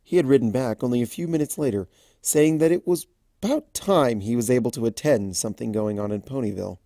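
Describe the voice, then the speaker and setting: A man reading a fan story aloud as an expressive storybook narrator. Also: mid-range voice, fairly quick, dry recording, clean studio sound. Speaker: fan fiction reader.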